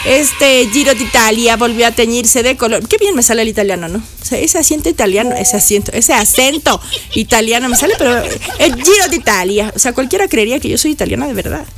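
A woman's voice: on the high side, lively intonation, a little fast, over music. Chatty woman radio host. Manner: playful, teasing asides between news items. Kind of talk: chatty news commentary.

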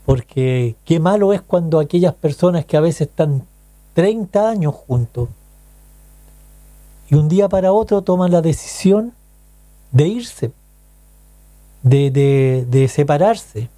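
A man's voice: a little high, expressive, takes it slowly, long pauses, mellow, dry recording. A man with a Chilean accent hosting a casual radio show, chatting to listeners with rhetorical questions and frequent check-ins. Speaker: radio host.

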